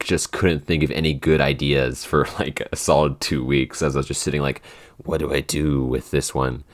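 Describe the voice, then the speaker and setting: A young man chatting casually as a podcast host, rambling with many fillers and restarts. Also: rumbling low. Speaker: podcast host and show writer. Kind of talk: casual chat.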